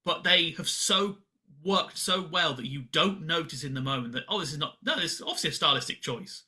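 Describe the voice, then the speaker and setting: Guy podcast cohost, really bright sharp voice, explaining stuff casually to listeners. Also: fairly high pitch, animated, fairly quick. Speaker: podcast co-host.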